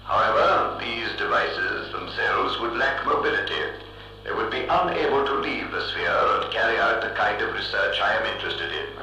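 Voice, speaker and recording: An actor playing a cold, imperious giant computer in a vintage science-fiction radio drama, speaking in a formal, emotionless, deliberate way. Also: male voice, lowish voice, bright, forceful tone, soft background music. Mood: commanding. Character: cold, imperious giant thinking machine ruling Mars. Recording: echoing old reel-to-reel radio recording.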